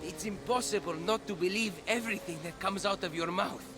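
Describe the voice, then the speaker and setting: A man playing a smug, high-voiced young liar. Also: fairly quick, over music, natural voice. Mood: smug, sarcastic. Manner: glib, sarcastic, defensive. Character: smug, defensive young man, a lying soldier brother. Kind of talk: video game cutscene acting.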